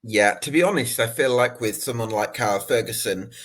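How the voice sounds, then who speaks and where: A man's voice: medium pitch, fairly quick, a bit bright. A man hosting a fan podcast, asking questions with lots of fillers and restarts. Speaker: podcast host. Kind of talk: casual podcast interview.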